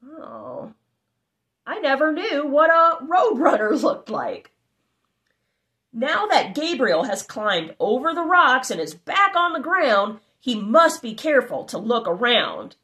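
Lively high-voiced woman reading a kids' book. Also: slow pace. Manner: animated, exclamatory, child-directed with asides. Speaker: storybook narrator. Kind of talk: reading a children's picture book aloud. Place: living room, indoors.

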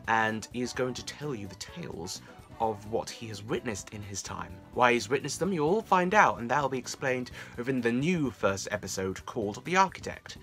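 Young man, medium pitch, casual vlog, chatty, self-deprecating asides, home room, light background music. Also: gravelly, fairly bright tone. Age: young adult. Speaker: fan audio series creator and vlogger. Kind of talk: casual talk to camera, series update. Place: small room indoors at home.

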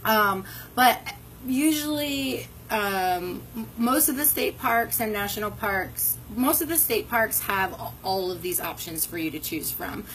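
A woman's voice: medium pitch, expressive, unhurried, a bit bright, low-quality recording. Woman, 30s, workshop host, casual instructional chat with ums, phone mic outdoors, faint ambient noise. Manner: conversational, frequent ums and restarts. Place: covered porch outdoors by a brick wall. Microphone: phone camera at arm's length.

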